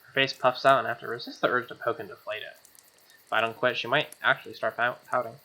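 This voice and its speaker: A woman's voice: rumbling low, steady tone, quick pace, pushed and bright. A woman streaming a visual novel playthrough, reading narration aloud and dropping in casual, slangy, sweary commentary.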